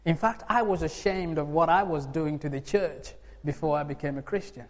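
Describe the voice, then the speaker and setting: Animated Ugandan-born man preaching, echoey hall. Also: fairly high pitch, normal speed. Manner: lively, rising to emphatic bursts with laughs. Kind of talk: sermon. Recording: long hall reverb.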